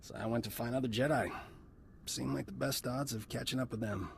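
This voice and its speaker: Roguish charmer doctor for a game, male actor: cocky, glib, theatrical, mid-pitched voice. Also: fairly even intonation, fairly quick, creaky, a little hiss or hum, natural voice. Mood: wry. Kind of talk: video game cutscene acting.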